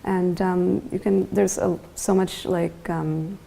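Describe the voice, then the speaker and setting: A young woman talks casually as an interview guest, her voice sitting low and mellow with heavy vocal fry throughout. She hesitates often, filling gaps with ums and likes and restarting her sentences. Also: level tone, takes it slowly, rough recording. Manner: hesitant, many fillers and restarts. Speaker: guest artist.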